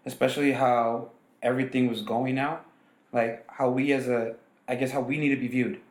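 A man's voice: mid-range voice, level tone, normal speed, echoey room. A man from Los Angeles who runs a restaurant, talking casually in an interview with lots of fillers and restarts. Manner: rambling, many restarts and fillers. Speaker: restaurant owner.

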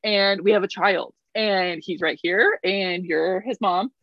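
A woman's voice: mid-range voice, unhurried, dry recording. Canadian woman in her 30s, chatty, laughs a lot, sound kinda muffled and dead dry. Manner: chatty, self-deprecating, laughs often. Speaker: guest. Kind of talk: casual interview chat.

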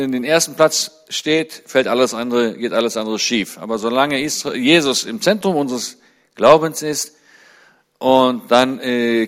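Guy in his 40s preaching at a church, normal mid voice, gets fired up and goes high on the big points. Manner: fervent, climbs high and loud on key points. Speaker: guest speaker at a church. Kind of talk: sermon-style talk to a congregation.

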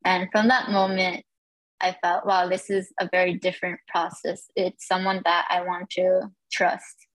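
A woman's voice: on the low side, flat delivery, a little slow, no echo, low-quality recording. A woman sharing her own experience as a guest in a casual, reflective way. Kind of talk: casual personal storytelling.

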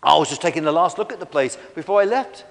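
A man's voice: animated, quick pace, vocal fry. A man voicing a massive, kindly storybook diplodocus who speaks in a helpful, reassuring way. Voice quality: deep. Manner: reassuring, explanatory. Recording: long echoey hall reverb.

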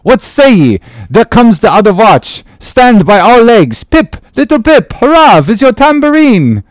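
A man voicing a lively sailor who rouses his shipmates to dance. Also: very high-pitched, measured pace, dry recording, clean studio sound, voice pushed up, full character voice. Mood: rousing. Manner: rousing, exclamatory. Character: lively dance-loving sailor rallying a jig.

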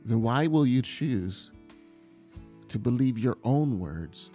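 A man giving an earnest, confessional sermon testimony, often halting and repeating words as he speaks. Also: medium pitch, expressive, warm tone, faint music behind.

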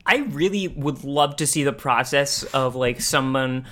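Man podcast co-host, halting casual talk. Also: medium pitch, a bit bright. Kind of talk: casual discussion of animation.

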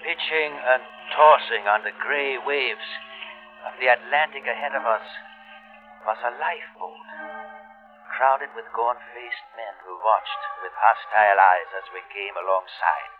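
Actor as grave German U-boat officer, old radio. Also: male voice, mid-range voice, natural voice. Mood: tense narration. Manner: grave, deliberate, dramatic. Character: doomed German U-boat lieutenant telling his last story. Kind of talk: old-time radio drama acting. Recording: muffled vintage radio broadcast, music behind.

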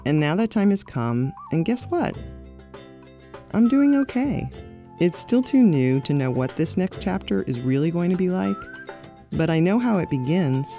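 A middle-aged woman hosting her podcast, narrating in a reflective, measured and candid way. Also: on the low side, expressive, mellow, faint music behind. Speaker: podcast host. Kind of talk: scripted personal narration.

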